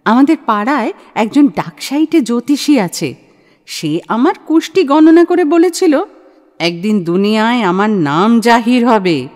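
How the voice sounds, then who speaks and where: Lady playing a gutsy shrewd young woman in a radio play, slow deliberate delivery, very animated, persuasive. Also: a little high. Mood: confiding, assertive. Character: bold, shrewd young woman, frank and self-assured. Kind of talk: audio play acting of a literary short story. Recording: long hall-like reverb.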